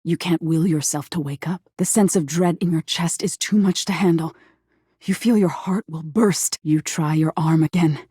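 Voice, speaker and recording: A woman voicing an eerie, thoughtful nightmare spirit in a video game, measured and breathy, often slipping toward a whisper. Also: deep voice, dark tone, no echo, studio quality. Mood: ominous, hushed. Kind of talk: scripted game character acting, intimate second-person storytelling.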